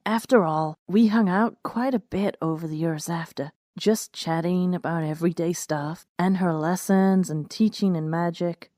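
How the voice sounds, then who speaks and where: A woman's voice: lowish voice, normal speed, few pauses, mellow, dry recording, clean studio sound. A woman reading scripted stories aloud as a narrator and host. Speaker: host and narrator of a fan fiction reading show.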